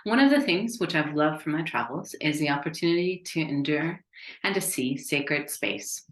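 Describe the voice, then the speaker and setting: A woman's voice: low-pitched, animated, normal speed. An American woman giving an upbeat, conversational business webinar. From United States. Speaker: business consultant. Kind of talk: webinar presentation. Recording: echoey room.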